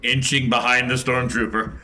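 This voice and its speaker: A man playing a tabletop game, chatting casually in a playful, animated way. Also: medium pitch, moderate pace, poor audio.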